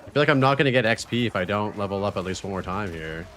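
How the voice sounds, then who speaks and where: A man in his thirties streaming a video game live, chatting casually with his viewers and reacting excitedly with slang and swearing. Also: lowish voice, quick pace, slight background noise. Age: 30s. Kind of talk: casual live-stream chat while gaming.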